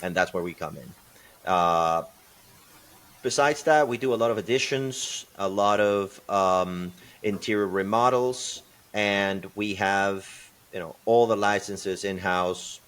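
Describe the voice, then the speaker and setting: Guy with a low voice talking business on a podcast, lots of pauses. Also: fairly bright tone. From Peru. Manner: thoughtful, many fillers and restarts. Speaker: podcast guest, construction company partner. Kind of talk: conversational interview answers.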